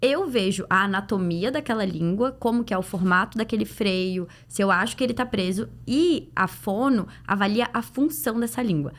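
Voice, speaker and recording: A woman's voice: mid-range voice, normal speed, hardly any pauses. A woman with a Brazilian Portuguese accent answering in a podcast interview as a clinician guest, explaining things conversationally with illustrative examples. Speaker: guest, pediatric dental clinician.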